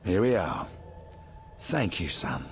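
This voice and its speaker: Lively, chatty old grandpa. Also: male voice, sits low, takes it slowly, heavy background noise, exaggerated voice. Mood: friendly, chatty. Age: older. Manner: chatty, folksy. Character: friendly eccentric old man, hospitable village grandpa. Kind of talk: video game voice acting.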